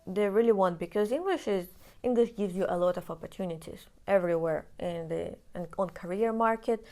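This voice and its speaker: A woman's voice: medium pitch, a little fast, dark tone. Chatty Russian-accented young woman. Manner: chatty, explanatory, with frequent restarts. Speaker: vlogger. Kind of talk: casual personal talk to camera.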